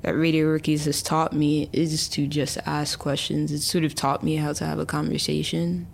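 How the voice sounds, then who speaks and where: A woman's voice: low voice, little pitch movement, normal speed, gravelly, a little hiss or hum. A woman in her early twenties, a chatty interview guest who talks casually with many fillers and restarts. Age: early 20s. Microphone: remote call.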